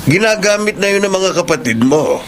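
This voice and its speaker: Stern, animated Filipino father. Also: high-pitched, normal speed, a little hiss or hum. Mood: dismissive. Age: middle-aged. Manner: scolding, dismissive. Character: stern, reproachful father of a grown daughter. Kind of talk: Filipino radio drama acting.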